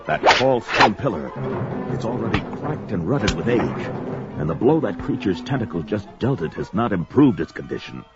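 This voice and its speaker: Bombastic barbarian warrior, wildly animated. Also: male voice, on the low side, normal speed. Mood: battle-strained, fierce. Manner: archaic, bombastic speech with oaths. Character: brawny barbarian warrior, gruff, proud, grudgingly loyal. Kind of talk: melodramatic audio drama, self-narrating the action. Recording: dull lo-fi recording with loud music bed. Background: dramatic music.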